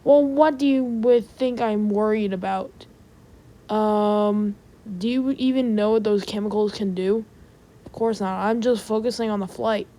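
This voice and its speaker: A woman's voice: mid-range voice, fairly even intonation, unhurried, warm tone, natural voice. A woman playing a stressed, exasperated rocket company staffer in a naturalistic amateur audio drama.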